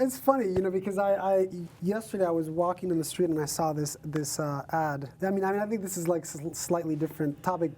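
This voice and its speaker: Man, actor guest, thoughtful, searching for words, warm mellow tone. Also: high-pitched, slight background noise. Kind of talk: casual interview chat.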